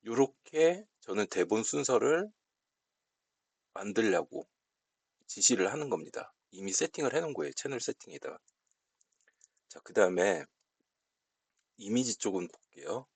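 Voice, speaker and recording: A man with a lowish, gravelly voice walks through a software tutorial step by step. His delivery is polite and explanatory, with lively swings in pitch. It is unscripted instruction from someone showing how things are set up. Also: moderate pace, pauses often, no room sound.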